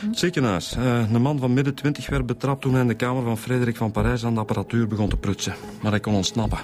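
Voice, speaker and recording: A man's voice: medium pitch, fairly even intonation, fast, lightly acted. Radio serial: actor as easygoing Flemish male inspector, short informal bursts, music underneath. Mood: matter-of-fact. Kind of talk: naturalistic radio drama dialogue. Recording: music behind the dialogue.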